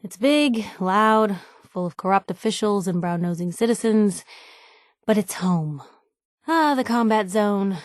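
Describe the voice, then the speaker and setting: A woman voicing a sharp-witted, idealistic young female reporter companion in a video game, wry and conversational. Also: mid-range voice, no room sound, clean studio sound, natural voice. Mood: sardonic, wistful.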